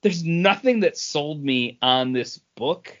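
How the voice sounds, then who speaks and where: A man's voice: a little high, expressive, takes it slowly, dull audio. A man co-hosting a comic book podcast in casual, opinionated chat, blunt and given to swearing and acting out quotes.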